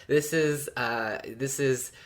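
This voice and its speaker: A woman with an extremely low-pitched voice co-hosts a fan discussion podcast. Her delivery is informal and conversational, like unscripted chat between friends. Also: slow. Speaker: podcast co-host.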